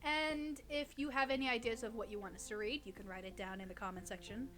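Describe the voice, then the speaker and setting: A woman's voice: on the high side, fairly even intonation, fast talker. A young woman co-hosting a casual chat, reading creepy stories aloud in a giggly, teasing, irreverent way. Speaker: co-host reading listener stories.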